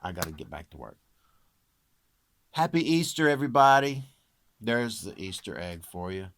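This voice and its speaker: Deep-voiced man in a dry recording. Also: big pitch swings, normal speed, fairly bright tone, no room sound. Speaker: amateur singer and video creator presenting own recording. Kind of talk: casual chat to viewers.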